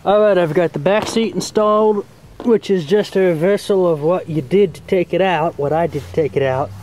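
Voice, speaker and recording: A man's voice: high-pitched, normal speed, darkish tone, slight background noise, low-quality recording. A man giving a casual hands-on tutorial in a folksy, plain-spoken way, as host of a homemade how-to video. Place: outdoors in and around a car.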